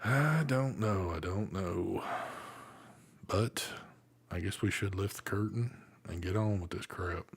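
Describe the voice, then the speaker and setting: A man hosts a live vape stream in an easygoing, casual way. His voice sits very low, and he swears freely and chuckles between lines. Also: slow, gravelly, breathy edge. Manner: laid-back, swears casually, chuckles often. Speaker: live vape show host.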